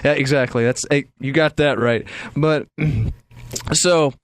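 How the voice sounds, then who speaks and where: A man's voice: on the high side, fairly even intonation, slow pace, no echo. A young man hosting a casual morning radio chat on a studio microphone. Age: young adult. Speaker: radio show host.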